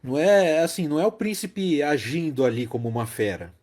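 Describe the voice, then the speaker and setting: A man's voice: a little high, big pitch swings, a little fast, darkish tone. A Brazilian man co-hosting a casual film discussion, explaining things emphatically and repeating key phrases. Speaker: podcast host.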